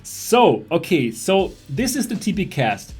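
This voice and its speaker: A young German man with a slightly high voice hosts a casual tech livestream in English, speaking with a German accent. He talks in a loose, chatty way, laughing often and restarting his phrases, while faint music plays underneath. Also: very animated, measured pace, a little mellow, husky. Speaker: host of a VR livestream show. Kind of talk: casual livestream tech talk, reading chat.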